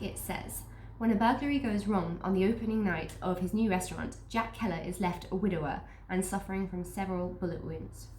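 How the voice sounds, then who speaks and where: A woman's voice: medium pitch, a little mellow. A woman with a stuffy nose from a cold, chatting upbeat through a book box unboxing and reading the blurbs aloud. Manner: chatty, upbeat, restarts sentences. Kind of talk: casual unboxing chat with read-aloud blurbs. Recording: echoey room with faint noise.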